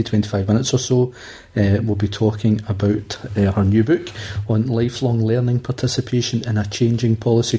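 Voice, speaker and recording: A man's voice: fairly low pitch, dark tone. A man hosting an education radio show and interviewing a guest, with frequent uhs. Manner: hesitant, with many uhs.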